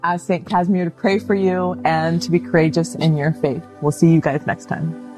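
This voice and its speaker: A woman's voice: lowish voice, level tone, normal speed, darkish tone, faint music behind. A woman presenting an online video about a saint in a chatty, enthusiastic way. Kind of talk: casual talk about a saint.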